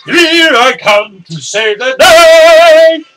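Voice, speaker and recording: A man's voice: very high-pitched, expressive, extremely slow, pushed and bright, faint music behind. A man cutting a brash, self-congratulating wrestling promo.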